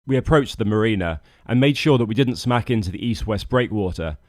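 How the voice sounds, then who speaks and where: A man's voice: on the low side, expressive, brisk, a little mellow, no echo. A British man narrating a scripted vlog as a boat skipper, with a wry, dryly humorous manner. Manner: wry, dry humour.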